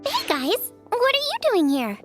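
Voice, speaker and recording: A woman playing a perky, eager young girl cook in an animated fantasy video game. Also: very high-pitched, measured pace, full character voice. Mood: excited. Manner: perky, exclaiming, informal. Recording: music behind the voice. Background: playful background music.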